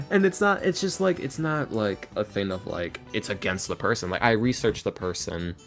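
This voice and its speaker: Male podcast guest, fairly low voice, chatty anecdotal delivery with acted-out quotes, light guitar music behind. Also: expressive, moderate pace. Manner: chatty, informal, acts out quotes. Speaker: podcast guest, voice actor and ASMR creator. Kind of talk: casual chat, storytelling.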